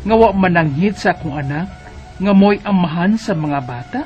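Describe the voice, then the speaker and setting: A woman's voice: lowish voice, animated, moderate pace, natural voice. A woman acting as an aggrieved Cebuano Filipino grandmother in a radio drama. She pleads and questions insistently, her voice swinging up and down. The sound is dull and lo-fi, with music underneath. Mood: aggrieved, questioning. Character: aggrieved, pleading mother and grandmother seeking advice. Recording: dull lo-fi broadcast with background music.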